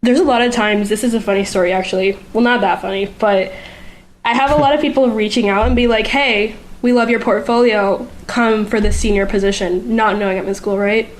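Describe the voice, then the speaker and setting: A woman's voice: mid-range voice, fairly even intonation, brisk, rough recording. Chatty young Puerto Rican woman. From Puerto Rico. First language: Spanish. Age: young adult. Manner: chatty, lots of "like" fillers. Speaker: guest, art student. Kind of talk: casual chat.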